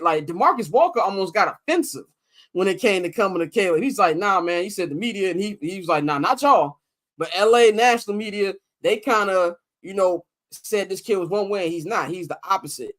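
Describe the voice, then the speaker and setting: High-voiced man in loose sports chat. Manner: animated, acts out what others said; slangy, loose and conversational. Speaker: podcast co-host.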